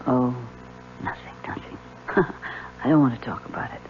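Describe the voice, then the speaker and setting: An actress playing a frail, wistful, ailing wife in a radio mystery, with a sighing, drawn-out delivery. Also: female voice, extremely low pitch. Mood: wistful, weary. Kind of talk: 1970s radio mystery drama acting. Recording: tinny, hissy old radio recording.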